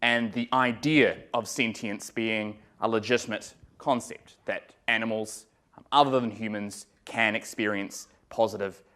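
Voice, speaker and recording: Kiwi guy giving a law lecture, lowish voice, lots of ums, dry jokes. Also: unhurried. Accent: New Zealand. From New Zealand. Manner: relaxed, lots of ums and uhs, dry humor. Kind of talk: academic lecture. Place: lecture room.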